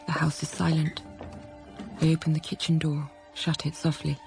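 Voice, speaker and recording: An actress playing a resolute, grieving young governess, narrating in the first person in a measured, intimate way for a radio drama. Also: female voice, deep voice, little pitch movement, creaky, a little mellow, faint music behind. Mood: hushed, determined.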